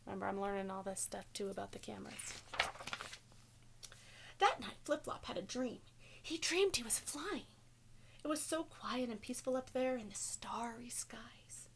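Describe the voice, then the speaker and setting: A woman's voice: a little high, lively intonation, moderate pace, gravelly, pauses often, slight background noise. A woman teacher reading a picture book aloud to children, expressively and with simple questions for the listeners. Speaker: elementary school teacher.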